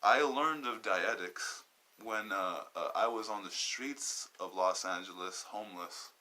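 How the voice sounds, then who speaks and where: Low-voiced, sharp-toned young man. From Southern California. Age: 20s. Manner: halting and wandering, searching for words. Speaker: podcast host. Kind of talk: personal podcast monologue.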